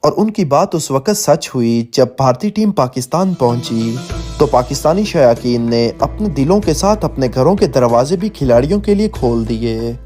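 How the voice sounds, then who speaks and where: A man with a Pakistani accent delivers a scripted news report. His voice sits at an average male pitch and his delivery is formal and declarative. Music plays clearly behind him throughout. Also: warm tone. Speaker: news narrator. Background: background music.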